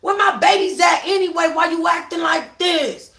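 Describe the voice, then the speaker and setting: A woman voicing an exasperated young man caught cheating, loud and defensive, pleading in agitated slang during a story reading. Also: extremely high pitch, moderate pace, roomy sound, pitched above the performer's own voice.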